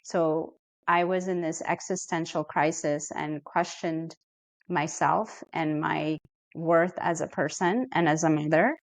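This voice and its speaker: A middle-aged woman from Ethiopia, a therapist speaking as a podcast guest in an earnest, reflective and measured way. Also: sits low, fairly even intonation, no room sound. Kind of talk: podcast interview.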